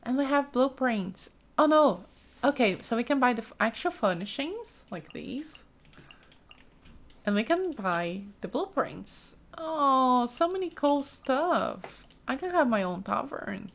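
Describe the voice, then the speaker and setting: A woman's voice: high-pitched, sing-song, takes it slowly. A woman giving casual, chatty let's play commentary, thinking aloud with frequent exclamations as she explores a game. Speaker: gaming streamer, let's play host.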